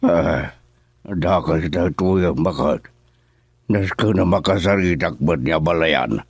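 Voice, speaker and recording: A stern old father , played by a man, with a deep, low voice and an Ilocano accent, gruff and commanding. He is heard up close in a dry studio sound, as in a radio play. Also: slow, no room sound, full character voice. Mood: worried. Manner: gruff and commanding, shouting in anger. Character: stern older father angry at son-in-law. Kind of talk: radio drama acting.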